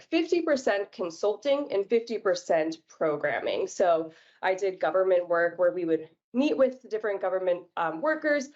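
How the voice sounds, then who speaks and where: A young woman from New York speaks in a fairly low voice, easygoing and chatty. She talks over slightly muffled call audio. Her story unfolds with casual fillers. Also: darkish tone. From New York, United States. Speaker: podcast guest. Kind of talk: casual interview chat.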